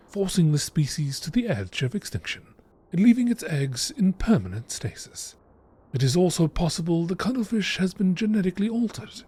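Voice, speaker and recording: A man giving a measured, informative scripted narration in the style of a nature documentary. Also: on the high side, mellow, slightly rough. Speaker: reader of video game text.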